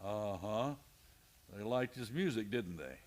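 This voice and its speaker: A man's voice: on the low side, expressive, unhurried, darkish tone. A man playing a weary, dogged Old West marshal in a radio play, terse and questioning. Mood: stern, probing. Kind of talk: western radio play acting.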